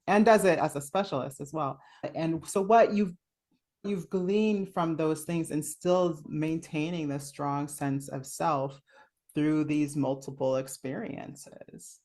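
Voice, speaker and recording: A woman's voice: low voice, a little mellow. Female interview host, effusive, casual, thinking aloud in rambling sentences. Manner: effusive, rambling, meandering phrasing.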